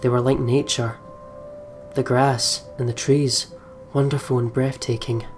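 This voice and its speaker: A woman's voice: rumbling low, flat delivery, measured pace, darkish tone. A woman hosting a let's play, reading the game's narration dramatically and expressively with candid, profane asides. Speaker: let's play video host. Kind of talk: voiceover reading of game narration with candid reactions. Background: game music.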